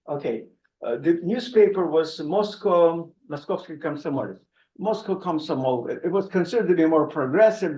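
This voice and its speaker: An elderly man with a Russian accent tells stories from his past, his voice sitting somewhat high for a man. He stops and restarts often, with chuckles between phrases. The sound carries a roomy hall echo. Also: darkish tone. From Odessa, Ukraine. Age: 80s. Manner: halting, with frequent restarts and chuckles. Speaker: author giving a book talk. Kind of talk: conversational anecdotal storytelling.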